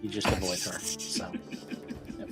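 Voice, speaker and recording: A man's voice: low-pitched, level tone, measured pace. A man chatting casually as a player in a tabletop roleplaying game. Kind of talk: casual chat. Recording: loud music behind the voice. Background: loud music.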